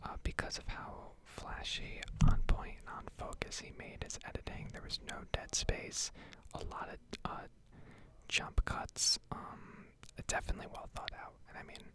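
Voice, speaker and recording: A woman whispering a rambling, improvised ASMR game commentary in a hesitant, unhurried way, with a breathy, creaky edge. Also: rough recording. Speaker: gaming ASMR creator.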